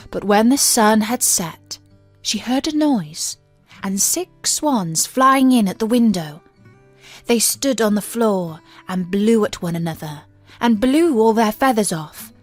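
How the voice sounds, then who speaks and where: A woman reading a fairy tale as an audiobook narrator, in a measured, careful storybook manner. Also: medium pitch. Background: background music.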